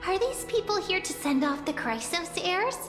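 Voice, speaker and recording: A woman's voice: extremely high pitch, lively intonation, normal speed, reverberant, long reverb tail, music in the background. A woman voicing a small, playful, chirpy fairy-like companion in a video game, with a teasing manner.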